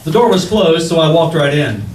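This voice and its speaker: A man's voice: a little high, expressive, fairly quick, darkish tone, slight background noise, natural voice. A man playing a cheerful, salesman-like announcer pal in a 1940s-style radio comedy. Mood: breezy. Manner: breezy, salesman-like. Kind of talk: 1940s radio comedy acting before a live audience. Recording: echoey stage, distant from mic.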